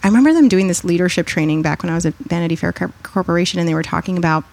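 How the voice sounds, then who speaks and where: A woman's voice: lowish voice, rapid-fire, gravelly, darkish tone. A middle-aged woman from the United States, a podcast guest chatting casually and animatedly, digressing and laughing often. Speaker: podcast guest, author and consultant. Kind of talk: casual interview chat.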